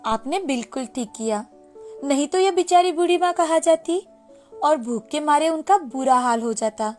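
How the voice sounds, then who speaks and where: A woman playing a supportive, patient wife and mother in a storybook tale, soft-spoken, with music behind. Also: high voice, normal speed, lightly acted. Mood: sympathetic.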